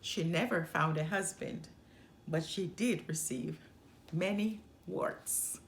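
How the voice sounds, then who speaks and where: A woman hosting story time, reading a children's storybook aloud in an expressive narrating style. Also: lowish voice, slow, a little hiss or hum. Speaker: storytime host.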